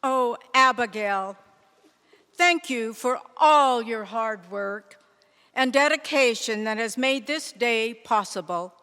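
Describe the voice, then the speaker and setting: A woman's voice: on the high side, takes it slowly, sharp tone, clear, resonant tone. A woman playing a grateful admirer of a suffragist, speaking earnestly in declamatory readers theater style. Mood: grateful admiration. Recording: reverberant hall sound.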